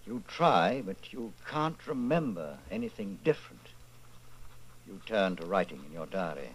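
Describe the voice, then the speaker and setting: A man playing a grave, measured narrator in an old-time radio style drama, telling the story in the second person. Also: low-pitched, big pitch swings, breathy edge, full character voice. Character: grave narrator addressing the hero as you.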